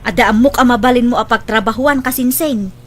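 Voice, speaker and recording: A pushy village woman, played by an actress with a high voice. She is coaxing and insistent, fretful about money, in a comic audio drama. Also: fairly quick, lightly acted. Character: pushy, money-anxious village woman recruiting relatives into a scheme. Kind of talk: scripted audio comedy drama dialogue.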